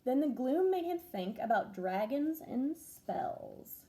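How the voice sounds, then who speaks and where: A young woman, a first grade teacher, reading a rhyming picture book aloud with expressive, sing-song storytelling. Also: high-pitched, slow.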